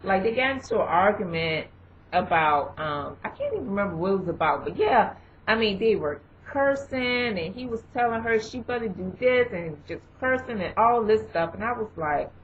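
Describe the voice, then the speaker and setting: A young woman vlogger giving casual advice, rambling and filling pauses with "you know" and "um". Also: medium pitch, animated, slight background noise. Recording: dull lo-fi recording.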